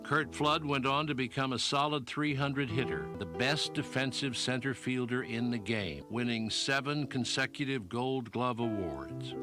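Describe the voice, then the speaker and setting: Prominent guitar music plays under a man's voice. He delivers scripted sports documentary narration at a middling pitch. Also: a little slow, few pauses. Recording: loud plucked guitar music bed.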